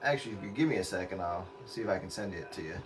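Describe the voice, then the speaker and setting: A man's voice: low-pitched, expressive, racing, very noisy. A man hosting an informal online get-together, chatting casually and explaining things in an informal way. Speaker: host of an online radio round-up night. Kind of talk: casual chat.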